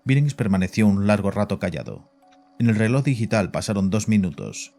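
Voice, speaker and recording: Casting: male narrator for horror audio fiction, low register, dark soft timbre. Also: expressive, fairly quick. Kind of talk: scripted horror story narration. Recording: voice over background music.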